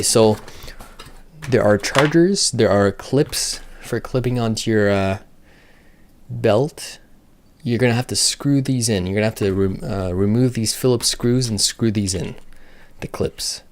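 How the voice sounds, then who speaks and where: A man with a fairly low voice talks through a product in a casual, unscripted way. He thinks aloud and keeps a matter-of-fact manner, like a reviewer working things out as he goes. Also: a little slow, a little hiss or hum.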